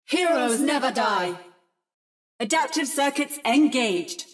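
A woman's voice: high-pitched, lively intonation, takes it slowly, soft background music. A woman voicing an adaptive female robot that mimics other heroes, with precise, theatrical video game delivery. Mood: battle cry.

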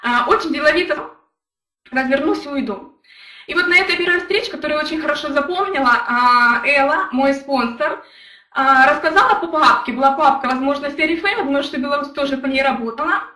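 Brief: young woman, high bright voice, earnest storyteller, roomy echo, a bit off-mic. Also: fairly quick, recorded from a distance. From Ukraine. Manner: earnest, storytelling, addresses chat viewers directly. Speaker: network marketing leader. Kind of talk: motivational live stream talk.

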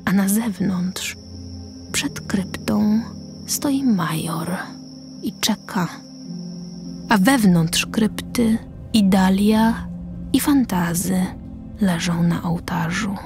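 A woman's voice: mid-range voice, extremely slow, pauses often, over music. A woman reading stage directions as the narrator of a scripted radio play.

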